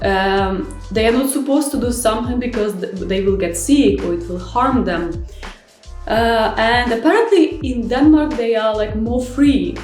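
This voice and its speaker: Young woman, Ukrainian accent, fairly high voice, frank chatty vlog, echoey room, soft music behind. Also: a little slow. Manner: chatty, frank, non-native grammar with many fillers. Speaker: vlogger. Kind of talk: casual vlog monologue.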